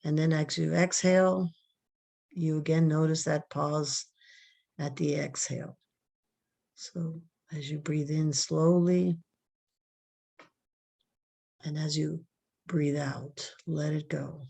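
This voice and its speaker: A woman leading a guided relaxation meditation, giving soft, calm, drawn-out breathing instructions. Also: deep voice, creaky.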